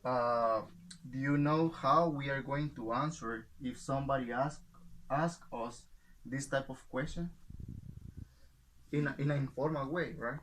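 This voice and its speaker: A man teaching an English class in a patient, slow classroom manner, checking in with "right?" after each point. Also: mid-range voice, fairly bright tone, slight background noise, poor audio.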